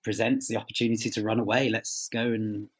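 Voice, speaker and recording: A man being interviewed as a writer, speaking reflectively and haltingly as he searches for words. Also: fairly low pitch, level tone.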